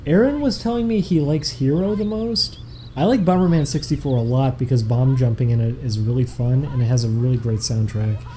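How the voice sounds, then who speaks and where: A young man chatting casually on a game stream, reading out donation messages in a relaxed, meandering way. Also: mid-range voice, a little fast, a little hiss or hum.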